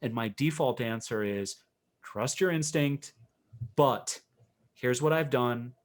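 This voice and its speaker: A man with a medium-pitched voice talks as a podcast guest. He is reflective and conversational, often restarting a thought and rewording it as he explains his work as a coach. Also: slow. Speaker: interview guest, professional coach.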